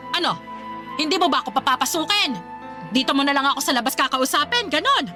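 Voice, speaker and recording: Shrill, scolding Filipino mother-in-law. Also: sing-song, fast, bright, forceful tone, faint music behind, full character voice. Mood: indignant, scolding. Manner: scolding, sarcastic, domineering. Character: angry, overbearing, nagging mother of a grown son. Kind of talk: Filipino radio drama acting.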